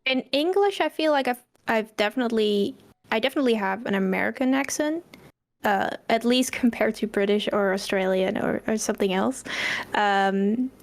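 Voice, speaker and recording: A woman's voice: on the high side, normal speed. A young Dutch woman with a light Dutch accent, chatting casually as a guest, often laughing and restarting her sentences. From Netherlands. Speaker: guest streamer. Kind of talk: casual chat.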